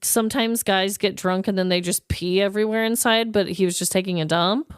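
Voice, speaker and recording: A woman co-hosting a podcast in casual comedic banter, expressive and playful. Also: mid-range voice, a little fast, clean tone, no room sound, clean studio sound. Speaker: podcast co-host.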